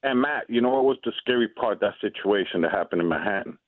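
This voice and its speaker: A man's voice: lowish voice, lively intonation, quick pace, no echo. A man from New York with a New York accent, calling in to a radio show, speaking urgently and repeating himself. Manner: urgent, repeats words at starts. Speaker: caller. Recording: tinny phone line.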